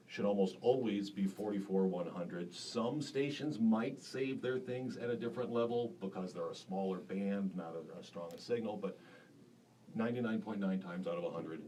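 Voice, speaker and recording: Male studio teacher, very low and deep voice, relaxed and chatty, heard from off-mic with faint hiss. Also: recorded from a distance. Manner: casual, many fillers. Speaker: broadcasting instructor. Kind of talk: classroom demonstration. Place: school radio studio.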